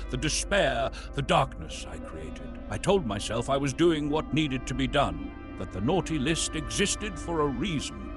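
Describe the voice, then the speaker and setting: A man's voice: medium pitch, natural voice. A man as brooding sinister old Santa, music behind. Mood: haunted. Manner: brooding, deliberate, theatrical. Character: sinister old Santa, weary, tormented, confessing his dark corruption. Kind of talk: dramatic horror monologue narration.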